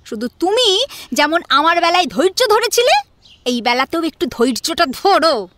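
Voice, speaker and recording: A woman's voice: very high voice, sing-song, normal speed, noisy background. A woman playing a scheming, bossy middle-aged mother-in-law in an audio drama. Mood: scolding. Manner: bossy, pointed.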